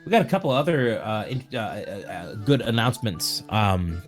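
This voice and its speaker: A man's voice: on the low side, big pitch swings, normal speed, faint music behind. A man hosting a casual stream as a game master, making announcements in a rambling, joking way that often interrupts itself. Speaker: host and tabletop game master.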